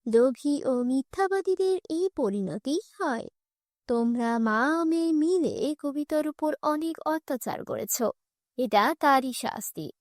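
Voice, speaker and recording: A stern magical fairy from a children's cartoon, acted by a woman. The voice is very high and close and dry, with a solemn, admonishing manner. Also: animated, a little slow, darkish tone, no echo. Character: magic fairy tree, stern female judge of wrongdoers.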